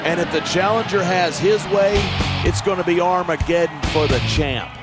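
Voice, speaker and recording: A man's voice: sits high, normal speed, close to an everyday voice. A man playing a cocky, opinionated colour commentator in a wrestling video game, partisan and gloating. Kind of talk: sports commentary. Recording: loud music over the voice.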